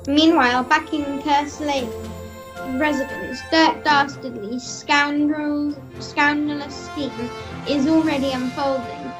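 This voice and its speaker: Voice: high-pitched, fairly even intonation, slow, poor audio. A young-sounding performer reading dramatic scripted narration for an amateur melodrama radio play. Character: earnest storyteller narrator of a villainous melodrama. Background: music.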